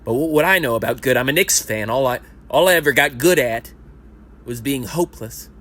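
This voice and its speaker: A man's voice: medium pitch, fairly quick, a bit bright, natural voice. A man in his thirties playing a self-pitying lifelong sports fan at a support group, rambling and self-deprecating, and sometimes rising into indignant rants in an audio play. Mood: earnest confession. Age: 30s. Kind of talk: amateur audio play acting.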